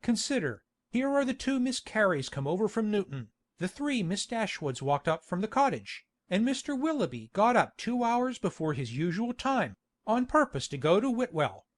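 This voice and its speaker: A woman voicing a hearty, insistent country gentleman who speaks in a bluff, coaxing way. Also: medium pitch, sing-song, normal speed, few pauses, pitched above the performer's own voice.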